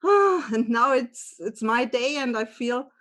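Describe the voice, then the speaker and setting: A woman from Austria, a guest author talking in halting with many restarts and light laughter. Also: a little high, expressive, a bit bright. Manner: halting non-native English, frequent laughs and restarts. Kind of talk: interview conversation.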